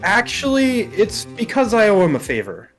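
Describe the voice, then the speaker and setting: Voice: mid-range voice, huge pitch swings, moderate pace, faint music behind, pitched above the performer's own voice. A performer voicing a nervous, earnest young male rookie lawyer in a video game, hesitant and stammering.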